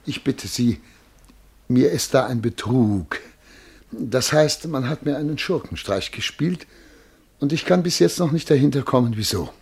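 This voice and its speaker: A self-important official in a radio drama, flustered and indignant. His husky voice sits fairly high and swings widely in pitch as he pleads, halts and puffs himself up. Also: animated, darkish tone. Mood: agitated, indignant. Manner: pompous, halting, pleading. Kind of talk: German radio play acting.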